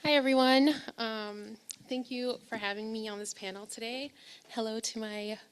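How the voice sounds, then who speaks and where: A woman's voice: a little high, steady tone, normal speed, background noise. Earnest young woman researcher on a panel. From Ethiopia. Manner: earnest, many fillers and restarts. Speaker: public health researcher on a panel. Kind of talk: panel talk.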